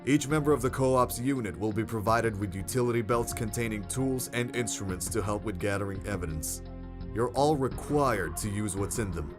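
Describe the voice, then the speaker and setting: A man's voice: on the low side, moderate pace, over music, close to an everyday voice. A man playing a stern, formal and blunt commanding officer in an audio drama. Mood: stern, instructive. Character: stern male commanding officer briefing a hero team. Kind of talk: scripted audio drama dialogue.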